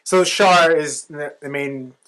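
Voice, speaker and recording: A man's voice: fairly high pitch, slow, a bit bright, poor audio. A man co-hosting a podcast, explaining things casually like a knowledgeable fan in conversation. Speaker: podcast co-host. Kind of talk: casual fan chat about anime.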